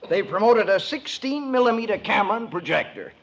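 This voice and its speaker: High-voiced, smug middle-aged neighbour. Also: male voice, big pitch swings, a little fast, fairly bright tone, exaggerated voice. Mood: genial. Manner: teasing, self-satisfied. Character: smug, needling neighbour man, nearly forty. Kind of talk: 1950s radio sitcom acting.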